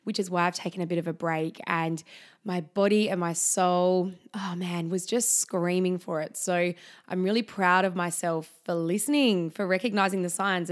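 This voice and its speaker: A woman hosting a solo podcast, earnest and soft-spoken, her voice slightly husky from being unwell. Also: fairly low pitch. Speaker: podcast host. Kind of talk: reflective solo podcast monologue.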